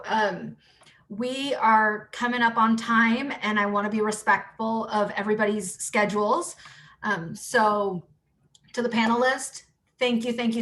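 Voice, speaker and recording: A woman's voice: on the high side, a bit bright. A woman speaking as a panel moderator, giving closing remarks at an online event.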